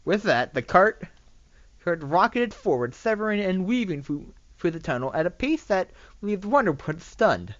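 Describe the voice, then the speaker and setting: An amateur narrator reading a fan fiction story aloud in a halting way, often stumbling over and repeating words. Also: mid-range voice, sing-song, no echo, highs cut off.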